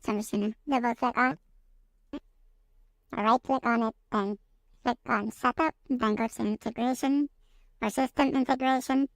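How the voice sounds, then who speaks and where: A man using a pitched-up voice changer to sound female while hosting a casual step-by-step software tutorial. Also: steady tone, moderate pace, creaky, pauses often, no echo, exaggerated voice, poor audio. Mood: matter-of-fact. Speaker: tutorial host. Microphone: USB condenser microphone, close.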